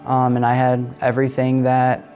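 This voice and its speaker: A young man in his twenties whose voice stays very flat and even. He recounts his past in a matter-of-fact way while faint guitar plays behind him. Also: mid-range voice, moderate pace, muffled recording. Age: 20s. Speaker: person in recovery. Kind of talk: personal testimony interview.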